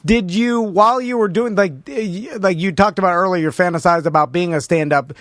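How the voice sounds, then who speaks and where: A male radio host with a slightly high voice, recorded dry and close on a studio mic. He chats casually, laughs easily and fills his pauses with "uh.". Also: fairly bright tone, no echo. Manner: chatty, laughs often, filler-heavy. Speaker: radio talk show host. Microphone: studio microphone.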